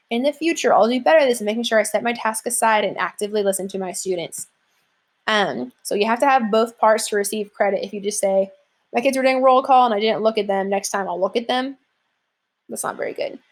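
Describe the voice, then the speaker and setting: A woman's voice: a little high, fast. Chatty woman teacher explaining an assignment. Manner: casual, chatty, many "like" and "um" fillers. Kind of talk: classroom lesson walkthrough.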